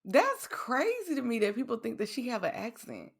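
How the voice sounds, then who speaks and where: A woman from Texas co-hosting a podcast in casual chat, animated and slangy, laughing often. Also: a little high, normal speed, creaky, slightly rough. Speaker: podcast co-host.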